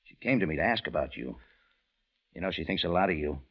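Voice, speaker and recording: A man's voice: low-pitched, expressive, fast talker, breathy edge, full character voice. An actor playing a tough, unflappable American adventurer who tells his own case in clipped, wry 1940s radio style. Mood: dry, firm. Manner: clipped, wry, hard-boiled. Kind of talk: 1940s radio detective acting and first-person narration. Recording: muffled old radio broadcast with music.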